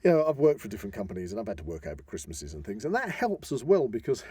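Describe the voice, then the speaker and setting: Low, mellow British man. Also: wildly swooping pitch, racing, slightly rough, no room sound. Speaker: podcast co-host. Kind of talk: casual conversational podcast talk.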